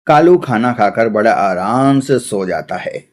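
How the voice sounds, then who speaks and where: A man giving scripted narration for an animated children's story. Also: fairly low pitch, unhurried, a little hiss or hum.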